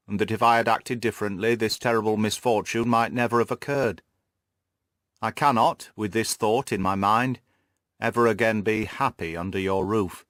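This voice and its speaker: A man's voice: fairly low pitch, fairly bright tone, no room sound, studio quality, natural voice. A man voicing a devoted, remorseful young woman's formal farewell letter in an audiobook. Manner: formal, earnest.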